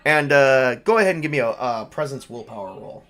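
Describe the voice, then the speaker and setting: A man's voice: mid-range voice, lively intonation, fairly quick, a bit bright. A man running a tabletop roleplaying game as game master, narrating casually and conversationally.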